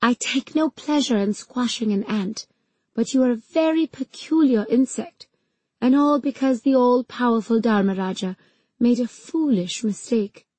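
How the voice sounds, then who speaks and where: A woman voicing a cold, vengeful villainess in an audiobook, speaking with calm, soothing, taunting menace. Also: on the high side, a little slow, no echo, pitched above the performer's own voice.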